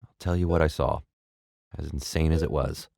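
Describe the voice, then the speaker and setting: An actor playing a worried but firm middle-aged father in an audio drama, measured and a little hesitant. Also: very deep voice, steady tone, vocal fry, darkish tone, slight background noise. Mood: firm bargaining.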